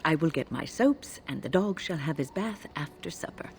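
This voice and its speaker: An actress playing a formal, fastidious woman companion in a fantasy video game, speaking in measured phrases. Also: deep voice, expressive, darkish tone, slight background noise. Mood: formal, composed. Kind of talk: video game dialogue acting.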